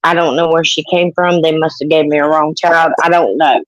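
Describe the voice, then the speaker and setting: A woman's voice: deep voice, fairly even intonation, a little fast, no echo, lo-fi sound. A woman, telling rambling, joking stories about her kids in casual chat. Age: 30s.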